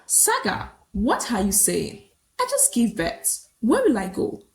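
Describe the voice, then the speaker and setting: Woman voicing a pleading, high-pitched new mother. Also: wildly swooping pitch, a little slow, full character voice. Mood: disbelieving. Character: frightened, pleading young mother, betrayed wife.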